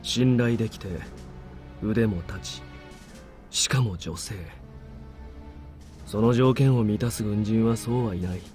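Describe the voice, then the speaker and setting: A male actor voices a stern major from a visual novel. His voice is low and dark, with a grave, matter-of-fact delivery. He sounds like a soldier weighed down by regret, with faint music underneath. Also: moderate pace, pauses often, natural voice. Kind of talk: Japanese visual novel voice acting.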